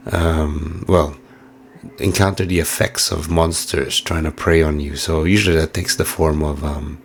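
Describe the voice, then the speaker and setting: A hoarse man giving casual, musing let's-play commentary with plenty of fillers while he plays. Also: deep voice, slight background noise. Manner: musing, chatty, many fillers. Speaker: game streamer.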